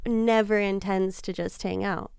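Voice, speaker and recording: A woman's voice: mid-range voice, steady tone, measured pace, gravelly, a little mellow, clean tone, no echo, clean studio sound. A woman in her thirties, a podcast guest telling dating stories in casual chat, laughing often. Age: 30s. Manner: giggly, storytelling.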